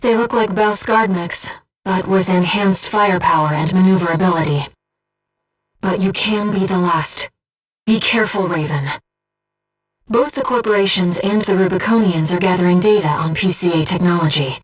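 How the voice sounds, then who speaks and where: A woman playing a gentle, disembodied female mission operator in a video game, earnest and composed, with clearly enunciated tactical callouts. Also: lowish voice, normal speed, recorded from a distance, natural voice, low-quality recording. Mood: soft, earnest. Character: gentle disembodied female voice guiding a mercenary pilot.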